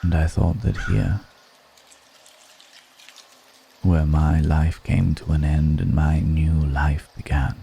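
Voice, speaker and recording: Vampire lord for ASMR roleplay, male actor: extremely low, dark and gravelly, seductive, solemn, hushed delivery. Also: flat delivery, a little hiss or hum, heavily acted. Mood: solemn, inviting. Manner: slow, deliberate, hushed, solemn.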